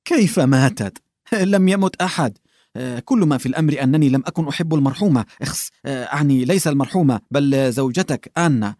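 A man's voice: medium pitch, fast, a little mellow, no echo, clean studio sound, natural voice. A man voicing a tactless, bumbling old male official who fumbles, backtracks and stammers. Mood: flustered.

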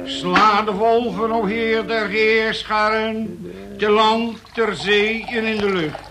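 An actor playing a pompous fire-and-brimstone preacher who declaims solemn prayers in a radio play. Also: male voice, high-pitched, steady tone, over music. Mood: wrathful, declaiming. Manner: declamatory, solemn, drawn-out phrasing. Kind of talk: radio play acting, chanted sermon-like prayer. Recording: very noisy old radio play recording.

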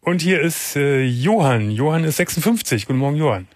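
A man's voice: on the high side, animated, fairly quick, dry recording, studio quality. A man hosting a late-night radio call-in show, asking callers direct, blunt questions. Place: radio studio.

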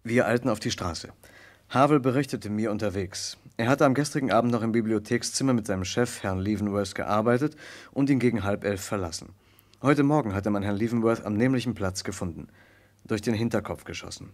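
An actor playing an earnest young American lawyer who narrates a murder case in a measured, precise way in a vintage German radio play. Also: male voice, fairly low pitch, natural voice. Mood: measured, grave.

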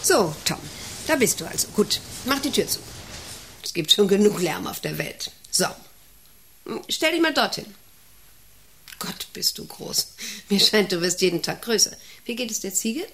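An actress in a radio play as a domineering, eccentric factory director who rambles, commands and asks intrusive questions. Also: female voice, medium pitch, sing-song, a little slow, pauses often, a bit bright, slight background noise. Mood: brisk, probing. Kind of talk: German radio play acting.

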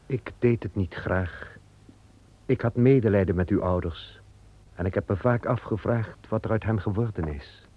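A man voicing a calm, solemn male angel guarding a paradise garden, speaking slowly and with measure in an old radio play. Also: on the low side, lightly acted. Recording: dull old radio play recording with music.

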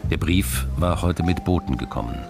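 A male actor narrating a crime radio drama in a measured, terse, dry and observant way. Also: very deep voice, vocal fry, music in the background. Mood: dry, laconic.

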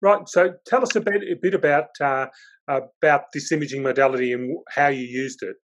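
A man's voice: on the high side, fairly even intonation, fairly quick. Hesitant male podcast host. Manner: rambling, hesitant, many restarts. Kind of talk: casual interview.

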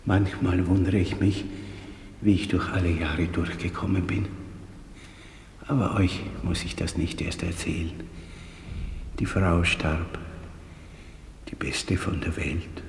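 An older man playing a timid, humble old porter in a vintage German radio play, halting and deferential. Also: low-pitched, fairly even intonation, creaky, heavily acted. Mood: grateful, wistful. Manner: halting, deferential, breaking into whispers. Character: humble, timid old messenger, poor widower. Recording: echoey vintage radio play, long reverb. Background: music.

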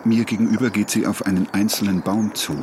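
An actor playing a sober witness who gives a dry, factual statement in a documentary drama. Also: male voice, lowish voice, flat delivery, fairly quick, close to an everyday voice. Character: sober male witness giving an official police-style statement. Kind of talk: dramatized reading of a report. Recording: clear background noise.

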